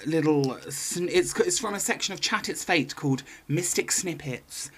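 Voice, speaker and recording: A woman's voice: low-pitched, normal speed, slightly rough. A woman co-hosting a podcast in casual chat, informal and exclamatory, with jokey asides. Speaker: podcast co-host. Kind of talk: casual chat, retelling a magazine story.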